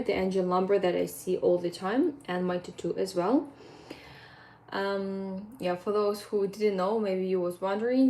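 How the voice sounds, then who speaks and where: Mellow-voiced Ukrainian woman vlogging. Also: medium pitch. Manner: earnest, rambling, with frequent ums and non-native phrasing. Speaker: fitness coach. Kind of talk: casual vlog monologue.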